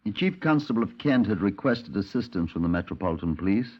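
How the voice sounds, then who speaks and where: A British police detective with a very low voice. He is laconic and matter-of-fact, in the manner of 1950s radio drama. The old recording is dull, with a long reverberant ring. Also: lively intonation, quick pace, slightly rough. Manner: understated, clipped, conversational.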